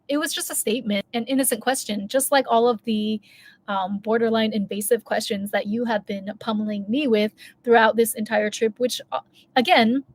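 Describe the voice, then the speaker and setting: Chatty woman telling a story. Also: fairly high pitch. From United States. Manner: chatty, candid, rambling with many asides and self-corrections. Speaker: vlogger. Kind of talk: casual storytime vlog.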